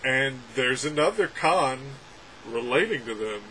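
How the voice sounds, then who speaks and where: A young adult man reviewing a film from a room at home, talking sarcastically with animated, exaggerated outbursts. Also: mid-range voice, unhurried, slight background noise, rough recording. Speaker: movie reviewer. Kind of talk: casual ranting film review. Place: home room with packed shelves, indoors. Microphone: webcam framing at desk.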